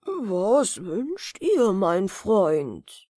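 A woman voicing a gentle, loyal magical horse in a fairy-tale audiobook, with drawn-out, soothing speech. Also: medium pitch, very animated, dry recording, clean studio sound, exaggerated voice. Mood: calm, reassuring.

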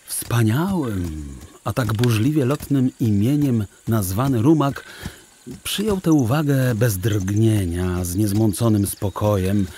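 A man narrating a children's audio drama in a measured, literary storybook manner. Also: fairly low pitch, warm tone, a little hiss or hum.

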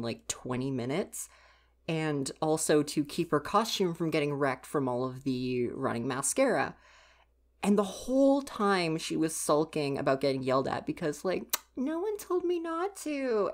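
A young woman acts out a theater stagehand in an intimate ASMR roleplay, pitched low. The character is grumpy and sarcastic, teasing and gossipy, yet quietly caring. The delivery stays casual and conversational, as if talking to one listener. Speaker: roleplay voice performer.

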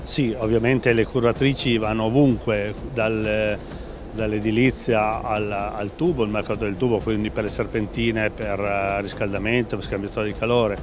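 A man's voice: fairly low pitch, little pitch movement, normal speed. A middle-aged man being interviewed at an exhibition stand, talking with many fillers and restarts. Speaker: company president interviewed. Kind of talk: trade fair interview. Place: busy exhibition hall stand. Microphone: handheld interviewer mic. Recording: background music under voice.

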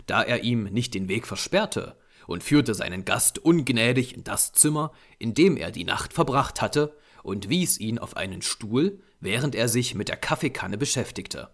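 Man, audiobook narrator, mid-range pitch, lively intonation, scripted story reading. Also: unhurried, hardly any pauses.

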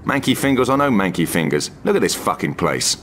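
An actor playing a jaded, middle-aged secret agent in a video game, dry, blunt and weary. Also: male voice, deep voice, sing-song, fairly quick, fairly bright tone, a little hiss or hum. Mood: indignant. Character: jaded, hard-bargaining veteran male secret agent. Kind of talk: video game cutscene acting.

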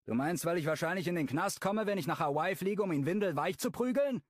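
A man voicing a furious, protective brother in an audiobook, speaking loudly and agitatedly. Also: sits high, expressive, fairly quick, no echo, studio quality, pitched above the performer's own voice. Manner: loud, agitated, raised voice.

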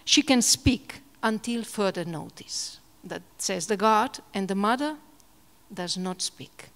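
A woman's voice: medium pitch, animated, slow pace. Middle-aged woman, moderator, thoughtful, handheld mic, reverberant hall. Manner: thoughtful, quoting, softens at ends. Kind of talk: onstage literary conversation. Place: large hall, on stage before audience.

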